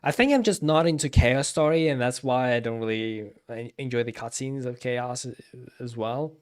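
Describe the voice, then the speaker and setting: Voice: mid-range voice, moderate pace, clear, resonant tone. A streamer giving casual, off-the-cuff live commentary on game cutscenes.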